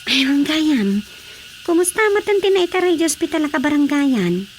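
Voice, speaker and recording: A woman's voice: high voice, very animated, normal speed, slight background noise. A woman acting in a radio drama, speaking in a conversational, reassuring way.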